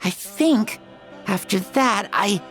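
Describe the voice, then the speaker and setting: A woman's voice: medium pitch, animated, a bit bright, faint music behind. Earnest, wide-eyed girl. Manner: slow and earnest. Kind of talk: video game voice acting.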